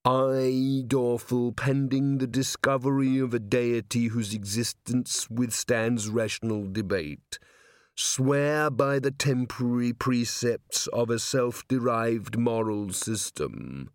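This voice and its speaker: Man voicing a very slow, solemn giant golem. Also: medium pitch, dry recording, studio quality. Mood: solemn, ponderous. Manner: formal, deliberate, ponderous wording. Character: solemn, slow, literal-minded male golem, huge and ponderous. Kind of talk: audiobook character voice.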